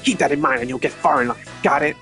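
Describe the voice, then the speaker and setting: A man's voice: medium pitch, quick pace, music in the background, close to an everyday voice. A man voicing a brash, proud, scornful Interpol agent in a video game, with a swaggering, slangy, confrontational manner. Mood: lecturing.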